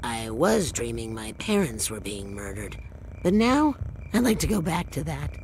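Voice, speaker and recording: An actor playing an uptight, anxious imp employee with a prim, exasperated, sarcastic manner in an adult cartoon. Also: male voice, very high voice, big pitch swings, a little slow, sharp tone, a little hiss or hum, exaggerated voice. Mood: indignant. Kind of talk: adult animated comedy acting.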